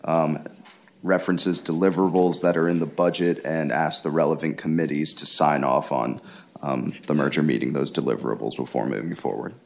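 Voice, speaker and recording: A man formally explaining a bill substitute to a legislative committee, measured and pausing with fillers. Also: very deep voice, fairly even intonation, gravelly, slight background noise.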